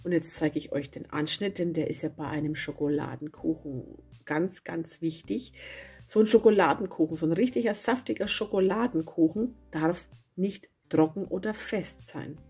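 A woman with a cold and a hoarse voice, chatting casually as she narrates a home baking video and correcting herself as she goes. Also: fairly low pitch, normal speed. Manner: chatty, self-correcting, homely. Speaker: cooking channel host. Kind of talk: casual recipe voice-over. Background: soft guitar music.

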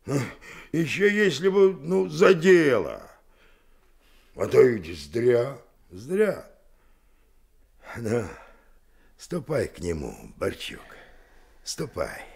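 A creaky-voiced, talkative convict in a radio play. He speaks slowly in rambling peasant phrases, kindly and folksy, with lively rises and falls. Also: mid-range voice, heavily acted. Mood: indignant, aggrieved. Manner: folksy, rambling peasant speech, rhetorical questions. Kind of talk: Soviet radio drama acting.

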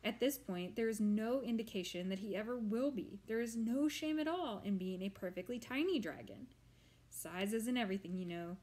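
A woman's voice: mid-range voice, darkish tone, lightly acted. A woman playing a pompous ancient swamp monster. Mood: lecturing. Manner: grand, slow and formal.

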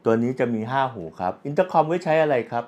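A man's voice: fairly high pitch, lively intonation, brisk, darkish tone, highs cut off. A man gives a casual, unscripted product demonstration, explaining step by step as a reviewer. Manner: explanatory, with frequent polite particles and spoken handling sounds. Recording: clearly audible background ambient noise.

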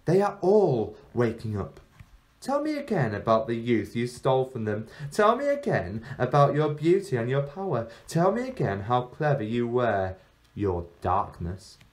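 Man reading as commanding, slow-spoken warrior queen. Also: medium pitch, huge pitch swings. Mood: defiant, taunting.

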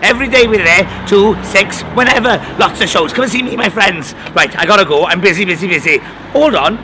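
A man's voice: sits high, a little fast, noisy background, natural voice. A man playing an indignant, flamboyant middle-aged pantomime dame, theatrical, gossipy and overemotional. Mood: brash, bossy. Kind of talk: pantomime comedy acting. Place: outdoors on a street outside a shop. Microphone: handheld interview microphone. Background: music.

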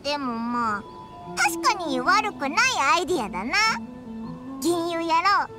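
A tiny childlike game companion, with an extremely high, squeaky voice. The delivery is animated and swings widely, cheeky and blunt with boyish wording. Loud music plays behind it. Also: female voice, a little slow, exaggerated voice. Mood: cheerful, curious. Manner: boyish rough speech, exclaiming and questioning. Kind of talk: anime game voice acting.

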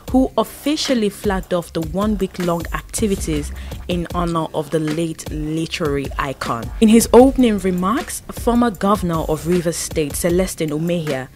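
A Nigerian woman narrates a scripted event report as its presenter. Her voice sits on the low side, and music plays clearly behind her. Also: darkish tone.

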